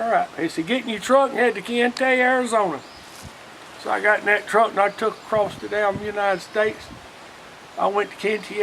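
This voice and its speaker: Older Alabama man, high bright voice, folksy. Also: creaky, a little hiss or hum, low-quality recording. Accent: Alabama Southern. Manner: folksy, rambling, often quoting past conversations. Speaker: storyteller, retired union organizer. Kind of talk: casual reminiscing.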